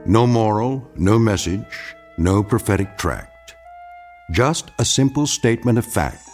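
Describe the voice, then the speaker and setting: A male actor playing a grave, measured narrator of an eerie radio anthology, solemn and portentous. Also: lowish voice, expressive, a little mellow. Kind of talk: dramatic scripted radio narration. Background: music.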